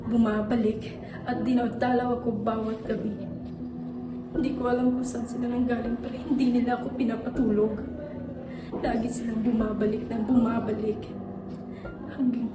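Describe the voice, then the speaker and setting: A young woman acting an exhausted, despairing student, speaking haltingly and repeating her words. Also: a little high, natural voice. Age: young adult. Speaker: student actor. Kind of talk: stage monologue. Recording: echoey room, distant mic, music.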